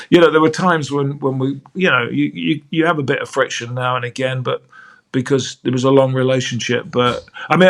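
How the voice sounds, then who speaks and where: A man's voice: mid-range voice, creaky, fairly bright tone. An older man, a veteran sports broadcaster, telling fond anecdotes in a chatty interview, often restarting his sentences.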